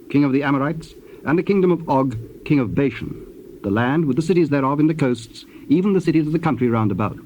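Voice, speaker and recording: A man narrating scripture in a formal, measured way. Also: medium pitch. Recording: dull, noisy old cassette tape.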